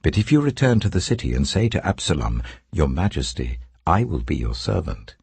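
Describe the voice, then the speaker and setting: A man playing a sorrowful, commanding old king in a solemn, measured audiobook reading of scripture. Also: extremely low pitch, sing-song, vocal fry, a little mellow, breathy edge, exaggerated voice. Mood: grave, commanding.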